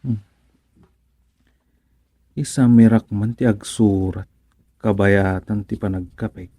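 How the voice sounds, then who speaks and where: A man's voice: lowish voice, steady tone, slow, mellow, no echo. An actor playing an easygoing village man who mutters and muses to himself, with an Ilocano accent, in a radio comedy-drama. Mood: musing, grumbling. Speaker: radio serial character.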